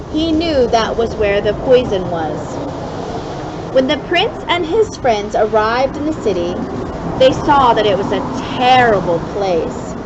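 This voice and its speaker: High-voiced woman reading a children's story. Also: highs cut off, noisy background. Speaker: storybook reader for a kids club. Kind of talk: expressive read-aloud of a children's allegory.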